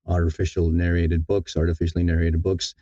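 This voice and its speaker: A man giving thoughtful interview answers in a halting, deliberate way, often repeating words as he thinks. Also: low voice, level tone, warm tone, dry recording, muffled recording. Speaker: audiobook narrator, interview guest.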